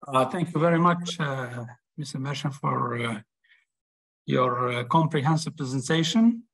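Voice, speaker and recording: A man hosting an online webinar, explaining steps with many pauses and fillers, on a video call mic. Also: medium pitch, animated, vocal fry, fairly bright tone, rough recording. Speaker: webinar host. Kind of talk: webinar presentation. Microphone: webcam on a video call.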